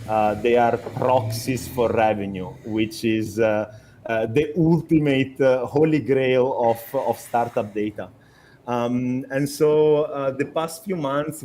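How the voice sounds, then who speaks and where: A man's voice: mid-range voice, dark tone, a little hiss or hum. A young adult man from Italy with an Italian accent, a startup co-founder talking in an interview, hesitant with many restarts and fillers. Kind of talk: conversational interview.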